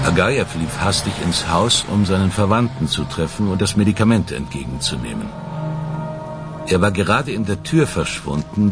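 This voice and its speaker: An actor playing an earnest, methodical police investigator in a German radio crime drama, speaking deliberately. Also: male voice, deep voice. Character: Russanow, male police investigator recounting a case, measured and earnest. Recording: studio radio drama with music underneath.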